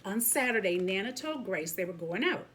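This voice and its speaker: A woman reading a children's picture book aloud as a teacher would, expressively, pausing to comment to young listeners. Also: mid-range voice, lively intonation, fairly bright tone, slight background noise.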